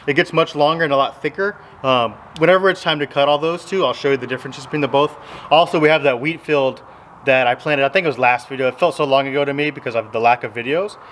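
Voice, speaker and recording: 30s texas guy, farm vlog on a phone held out, slightly high voice, a bit of background hum. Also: a bit bright. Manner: easygoing, rambling, explains step by step. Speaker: farmer vlogger. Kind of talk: casual vlog talk to camera. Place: large open metal barn with tractors. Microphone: handheld phone at arm's length.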